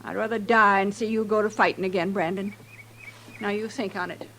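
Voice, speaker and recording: A woman's voice: mid-range voice, steady tone, brisk, fairly bright tone, close to an everyday voice. An American woman playing an anxious, pleading young expectant wife in a 1950s radio western. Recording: noisy old radio recording with hum.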